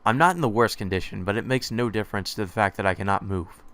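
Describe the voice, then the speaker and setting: A man hosting a let's play, reading a visual novel's first-person text aloud in a measured, slightly hesitant way. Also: on the low side, fairly bright tone.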